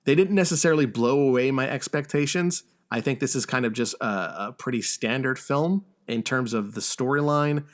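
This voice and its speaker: Halting man chatting on a podcast. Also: mid-range voice, a bit bright. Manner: halting, stammering delivery with repeated words. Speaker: podcast host. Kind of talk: casual film discussion podcast.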